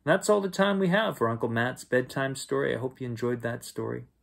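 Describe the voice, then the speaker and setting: A middle-aged man reads a children's bedtime story aloud as its host, with expressive storytelling. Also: medium pitch, brisk, creaky. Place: small bedroom at home, indoors.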